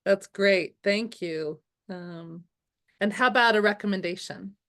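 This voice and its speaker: Slow-speaking woman interview host. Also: mid-range voice, a bit bright. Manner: hesitant, many fillers. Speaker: host, clinical supervisor. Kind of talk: interview hosting.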